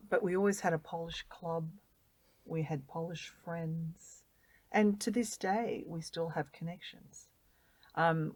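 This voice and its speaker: A middle-aged woman, speaking earnestly and warmly as a guest in a casual interview, sometimes repeating words as she gathers her thoughts. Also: low-pitched, gravelly, no echo, low-quality recording. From Australia. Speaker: guest volunteer.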